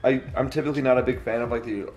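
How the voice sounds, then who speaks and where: A man in his thirties giving relaxed, halting first impressions of a meal in a home kitchen, recorded on a phone. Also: medium pitch, dull audio, soft background music. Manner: relaxed, hesitant, speaks with restarts. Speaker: taste tester. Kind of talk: casual chat. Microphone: phone camera across the counter.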